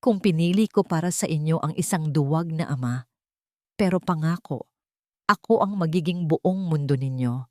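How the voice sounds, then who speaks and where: A woman with a Filipino accent voicing a proud, resolute wronged wife and expectant mother in an audio drama, terse and deliberate. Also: low voice, no room sound, clean studio sound, close to an everyday voice. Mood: tender, sorrowful.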